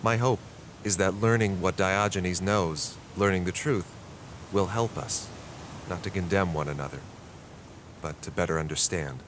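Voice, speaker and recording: A man playing a grave, reflective investigator, narrating a radio drama in a solemn, deliberate way. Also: sits low, background noise, lightly acted. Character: grave, reflective male investigator narrating Cold War secrets.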